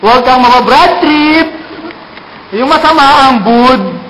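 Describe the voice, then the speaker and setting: Jokey puppet played by a performer, Filipino accent, unhurried delivery, repeats punchlines, roomy sound. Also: high-pitched, lively intonation. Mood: playful. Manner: teasing, repeats punchlines and explains them. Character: jokey puppet sending mangled-word greetings to a friend. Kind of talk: playful puppet show greeting, casual. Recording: roomy echo, faint noise.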